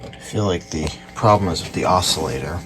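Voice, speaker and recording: Low-pitched man thinking aloud. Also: fairly quick, over music, poor audio. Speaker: host, antique radio repairer. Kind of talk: casual hands-on repair narration. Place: indoor workshop at a workbench.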